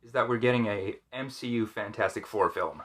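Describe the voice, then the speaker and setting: A man's voice: lowish voice, a little fast, a bit bright. A man in a casual chat about films and comics, explaining details like a knowledgeable fan. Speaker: co-host.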